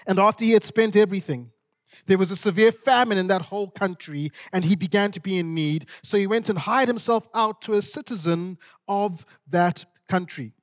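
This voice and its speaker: A man from Zimbabwe, preaching an expository sermon with storytelling, in deliberate, dramatic phrases that repeat for emphasis. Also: high voice.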